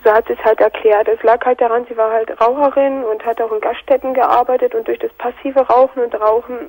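A woman in her twenties calling in by phone, hoarse from a cold, recounting events plainly. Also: a little high, steady tone, fairly quick, darkish tone, low-quality recording. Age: 20s. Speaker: caller. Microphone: telephone.

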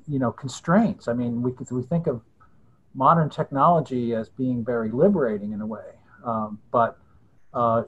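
Halting man on dull call audio. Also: mid-range voice. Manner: thoughtful, halting, many fillers. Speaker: presenter. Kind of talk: discussion on an online panel.

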